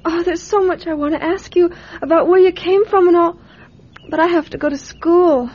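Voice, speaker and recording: A woman's voice: very high-pitched, moderate pace. A woman playing an earnest, curious nine-year-old farm girl in a radio drama, with a childlike, hesitant, pleading manner. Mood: earnest, worried. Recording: dull radio recording, faint noise.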